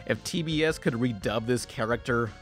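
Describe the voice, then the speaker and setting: A man delivers a scripted review in a sarcastic manner. His voice sits at a middling pitch, with faint music underneath. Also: moderate pace. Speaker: cartoon reviewer and host.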